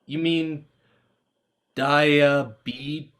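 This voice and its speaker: A man's voice: a little high, fairly even intonation, very slow, fairly bright tone. A man playing an awkward, dryly polite teenage boy in a play reading, hesitant and wry. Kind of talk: dramatized livestream play reading.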